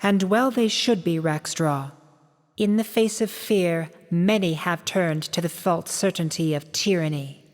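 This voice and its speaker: A woman voicing a stern, regal female pony ruler in a fan-made radio drama, speaking in a measured, commanding way. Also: on the low side, lightly acted. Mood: cool. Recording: long hall reverb.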